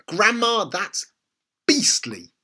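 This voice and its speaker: A squeamish little boy from a children's story, voiced by an adult reader. He is whiny and appalled, pitched up high, and his protests swoop with exclamation. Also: very animated, drawn-out words, bright, forceful tone, slight background noise, full character voice.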